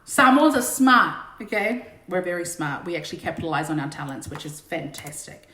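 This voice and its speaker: A woman's voice: fairly low pitch, expressive, fairly quick, sharp tone, slight background noise. A woman, a singer and singing teacher, chatting casually and joking between songs on a home livestream. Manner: chatty, joking, self-deprecating, big belting voice. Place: home room during lockdown. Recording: roomy echo, faint backing music.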